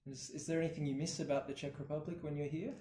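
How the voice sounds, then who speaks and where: A man asking interview questions in a brisk, casual way with fillers. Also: a little high, flat delivery, mellow, reverberant, a little hiss or hum.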